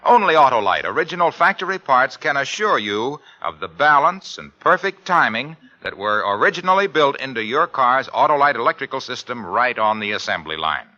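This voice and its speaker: A man's voice: mid-range voice, very animated, brisk, few pauses, sharp tone. A man reading scripted copy as a commercial and credits announcer in 1950s radio style. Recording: muffled old radio recording, music behind.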